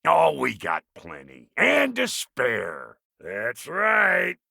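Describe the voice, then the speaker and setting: A crusty video game dwarf blacksmith with a heavily gravelled, sharp-edged voice. He is cantankerous and cocky, slinging folksy insults, and his pitch swings wildly from low grumble to high bark. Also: huge pitch swings, drawn-out words, no room sound, clean studio sound, full character voice. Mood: cocky, teasing. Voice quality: gravelly, raspy. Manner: folksy rustic slang, colorful insults, swaggering. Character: gruff, foul-mouthed, cantankerous dwarf blacksmith with folksy swagger. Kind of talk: video game character acting.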